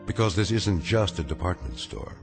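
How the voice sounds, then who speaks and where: A wry male storyteller narrates an uncanny radio drama in a fairly low voice. His delivery is deliberate and ominous, with big rises and falls over prominent music. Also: very animated. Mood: wry, foreboding.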